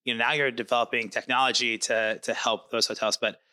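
Bright, upbeat podcast host man. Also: mid-range voice, little pitch movement, brisk. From not from New York. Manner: upbeat, enthusiastic, chatty with fillers. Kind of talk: casual interview.

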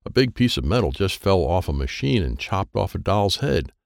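A man's voice: low voice, very animated, normal speed, vocal fry, no room sound, studio quality. A man narrating a children's story in the first person as a child, in short, simple phrases. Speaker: audiobook narrator.

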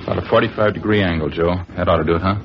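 An American actor playing a folksy, understated police partner in a 1950s radio drama, talking in short casual phrases. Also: male voice, deep voice, very fast. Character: folksy, low-key male police partner on night stakeout. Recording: muffled, hissy old radio recording.

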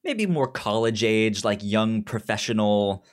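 A man chats casually as a podcast co-host, taking his time over each thought. His delivery is slow and halting, with frequent stammered restarts on words and sounds. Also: fairly low pitch, animated. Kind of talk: casual chat reviewing a drama series.

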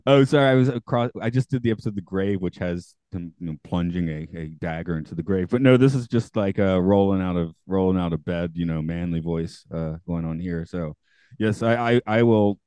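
A man hosting a podcast in casual chat, with a morning gravel in his voice, chatty and quick to laugh at himself. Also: deep voice, warm tone, no echo. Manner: chatty, self-deprecating, laughs often. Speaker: podcast host.

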